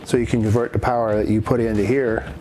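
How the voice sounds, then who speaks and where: A man giving an unscripted product demonstration in a halting, matter-of-fact way, as a presenter explaining equipment. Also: lowish voice, level tone, background noise. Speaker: presenter demonstrating radio gear. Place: indoors in a house.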